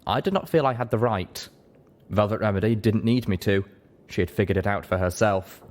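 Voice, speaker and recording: A man's voice: on the low side, fairly quick. A man reading scripted fiction aloud as a dramatic audiobook narrator. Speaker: audiobook reader.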